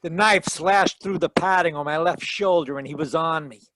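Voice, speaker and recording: A man playing a wry, deadpan hardboiled detective who narrates his case in 1940s radio style. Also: a little high, normal speed, noisy background, natural voice. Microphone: close home mic on a video call.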